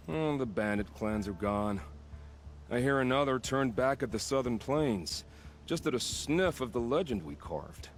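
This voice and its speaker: A man's voice: medium pitch, animated, a little slow, soft background music, natural voice. An actor playing a suave, bloodthirsty hunter in a video game, poetic and dramatic, savoring his phrases. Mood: wistful, intimate. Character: suave, bloodthirsty male hunter, wistful and theatrical. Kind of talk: video game cutscene acting.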